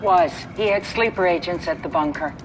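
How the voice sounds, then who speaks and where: A woman acting a firm, authoritative president in an action game, formal, measured and commanding. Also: lowish voice, soft background music, close to an everyday voice, rough recording. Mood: stern authority. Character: firm, authoritative female president of the United States.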